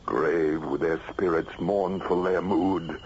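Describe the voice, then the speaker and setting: An actor playing a solemn man who slowly declaims ancient heroic verse in an old radio drama, on a muffled recording. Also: deep voice, steady tone, darkish tone, a little hiss or hum. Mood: mournful, grand. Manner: slow, declamatory.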